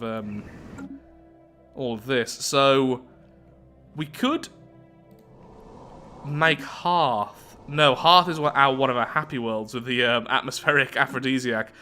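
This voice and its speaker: A man's voice: medium pitch, bright, forceful tone. A man giving casual commentary on a strategy game playthrough, thinking aloud as he goes. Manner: thinking aloud, musing to himself.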